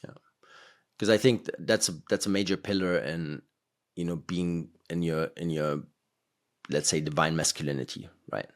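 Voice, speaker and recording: A man's voice: low-pitched, steady tone, moderate pace. A man hosting a podcast, rambling in casual conversation with many fillers. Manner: rambling, filler-heavy, laughs between points.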